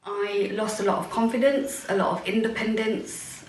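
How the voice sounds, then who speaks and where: A woman in her forties telling her personal story in a plain, steady way. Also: medium pitch, normal speed, far from the mic, slight background noise. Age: 40s. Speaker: charity supporter. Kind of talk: personal testimony. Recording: echoey room, away from mic, faint background ambience.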